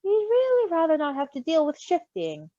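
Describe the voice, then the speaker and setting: A woman reading a story in an animated way, with giggly, casual asides. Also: very high-pitched, normal speed, no room sound. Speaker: story reader. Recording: slightly dull, close dry recording.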